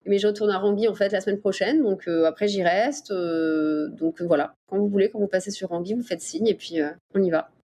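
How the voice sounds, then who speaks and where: A middle-aged woman from the Paris region answering interview questions in a casual, explanatory way with hesitant pauses. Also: medium pitch, dark tone. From Paris region, France. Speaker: interview guest, dolphin researcher. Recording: clear background ambient noise.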